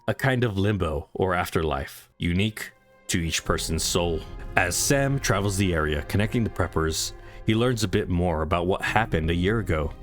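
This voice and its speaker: A man reading scripted story recap narration. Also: sits low.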